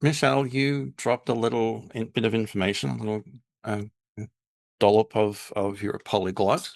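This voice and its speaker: A man's voice: lowish voice, fairly even intonation, moderate pace, gravelly. A man hosting a podcast, with a mix of North American and English accent, talking casually and repeating words as he searches for them. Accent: mixed North American and English. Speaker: podcast host. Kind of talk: casual conversation.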